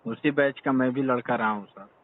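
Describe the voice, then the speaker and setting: A man's voice: mid-range voice, normal speed. Deferential man on a tinny phone line. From Bihar, India. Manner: deferential, says sir constantly, earnest and urgent. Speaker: caller reporting a complaint.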